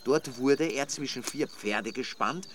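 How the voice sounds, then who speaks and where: An actor playing a sardonic, nosy amateur detective in a radio play, speaking dryly and colloquially. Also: male voice, medium pitch, measured pace, background noise, close to an everyday voice. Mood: mocking. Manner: dry, sarcastic, colloquial. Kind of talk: naturalistic radio play dialogue. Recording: outdoor ambience with birds and insects.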